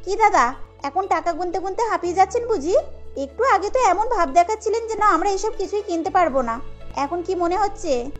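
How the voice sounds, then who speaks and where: Headstrong young bride-to-be, woman performer: pointed, girlish, extremely high voice, music behind. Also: lively intonation, fairly quick. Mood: smug, challenging. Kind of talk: cartoon voice acting.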